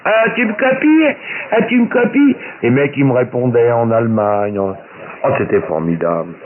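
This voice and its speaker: A man's voice: medium pitch, sing-song, normal speed. A man chatting casually as an amateur radio operator, colloquial and boastful, repeating phrases for emphasis, his voice coming through shortwave radio. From Paris, France. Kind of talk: casual radio chat, anecdotes. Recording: very noisy narrowband shortwave radio transmission. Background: loud radio static.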